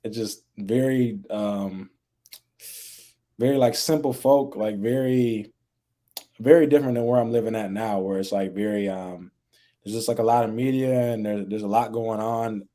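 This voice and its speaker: A young man talking casually as a podcast guest, relaxed and full of fillers, raised in the American Midwest. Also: on the low side, normal speed. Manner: relaxed, laid-back, many fillers like "like" and "you know". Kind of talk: casual interview chat.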